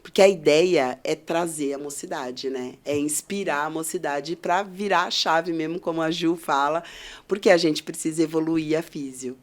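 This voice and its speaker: A woman with a notably low voice hosting a podcast interview. She is enthusiastic and exclamatory, drawing her guest out with questions and turning to address the listeners. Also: moderate pace. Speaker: podcast host.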